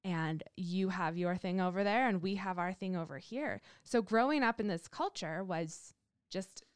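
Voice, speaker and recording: Lower-voiced woman pastor from Canada. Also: moderate pace, smooth, no echo, studio quality. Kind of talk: casual panel discussion.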